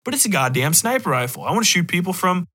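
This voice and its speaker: A man with a somewhat high, bright and forceful voice holds forth as a podcast host. He is casual and opinionated, putting things bluntly and swearing freely in loose conversation. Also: very animated, quick pace. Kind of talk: casual opinionated discussion of video games.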